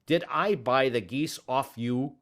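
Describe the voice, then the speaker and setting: A man reading aloud and voicing an angry, quarrelsome market salesman who shouts fiercely. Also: mid-range voice, big pitch swings, a little slow, pitched above the performer's own voice.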